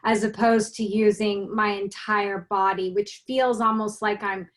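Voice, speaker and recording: A woman's voice: mid-range voice, fairly even intonation, a little slow. A woman student in an online acting class, describing her thin, narrow-ranged voice as she asks a long question over a video call. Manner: rambling, searching for words.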